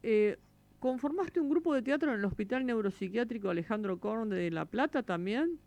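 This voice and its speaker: A woman co-hosts a radio interview. She puts her questions hesitantly, humming and pausing as she finds her words. Her voice is close and dry in the studio. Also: a little high, expressive, darkish tone, no echo. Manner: asks questions hesitantly, with hums and pauses. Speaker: radio co-host and actor.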